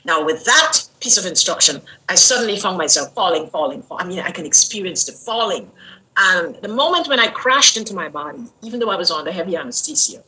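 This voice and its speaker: An older woman from Guyana, an interview guest telling a personal story in an earnest, unhurried way that lingers on words. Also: fairly low pitch, lively intonation, pushed and bright, slight background noise, rough recording.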